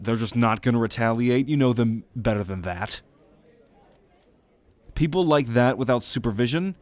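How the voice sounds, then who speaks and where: A man's voice: medium pitch, dry recording, natural voice. A man voicing a sardonic, foul-mouthed young student in an exasperated drawl for an ASMR roleplay audio drama. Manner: exasperated, sarcastic, swearing drawl.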